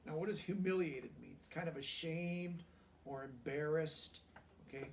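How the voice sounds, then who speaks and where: A man reading a children's story aloud and pausing to explain things simply, like a teacher with young listeners. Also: a little high, distant mic, slight background noise. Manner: pauses to explain words and check understanding in plain language.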